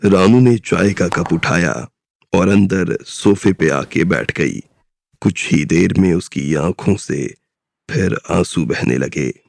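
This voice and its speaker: A man tells the story in a slow, measured voice. His tone sits very low and has a gravelly rasp. Soft background music plays under the narration. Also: animated, dry recording.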